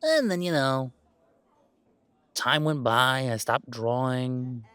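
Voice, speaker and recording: A man playing a laid-back, self-deprecating young gamer in a roleplay audio drama, chatting in a halting, playfully exasperated way. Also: mid-range voice, animated, fairly bright tone, clean tone, no echo. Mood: casual, reflective. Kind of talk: roleplay audio drama, casual one-sided conversation.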